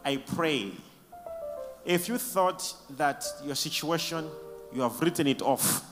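A man leading a church service, preaching emphatically and shouting blessings between quieter questions to the people testifying. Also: fairly high pitch, takes it slowly, in a large hall, soft background music.

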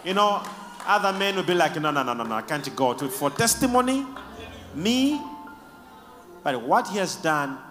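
A man leading a church service, preaching emphatically and shouting blessings between quieter questions to the people testifying. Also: fairly high pitch, very animated, moderate pace, long pauses, big-room sound, soft background music.

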